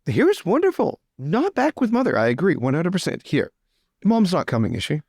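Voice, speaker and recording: Man playing a fussy, high-voiced flustered aristocrat. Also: wildly swooping pitch, a little fast, a little mellow, slightly rough, no room sound, clean studio sound, heavily acted. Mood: anxious, polite. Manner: stammering, exasperated, theatrical. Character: fussy, eager-to-please young male aristocrat, vain and flustered. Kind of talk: improvised tabletop roleplay.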